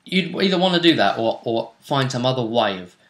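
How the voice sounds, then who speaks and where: A man's voice: mid-range voice, big pitch swings, brisk. A man chatting casually about a tabletop game, often rambling and restarting his phrases. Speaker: role-play show host. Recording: echoey room.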